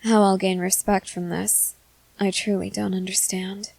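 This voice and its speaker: A woman voicing a composed, haughty junior high schoolgirl with formal, measured, articulate speech in a scripted ASMR roleplay. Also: little pitch movement, gravelly, darkish tone. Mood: disdainful, musing.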